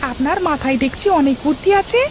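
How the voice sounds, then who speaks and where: A woman's voice: high-pitched, fast, noisy background, lightly acted. A woman voicing an eager, fawning male underling in a cartoon story. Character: squeaky, eager, flattering male underling of trader. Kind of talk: cartoon moral-story voice acting.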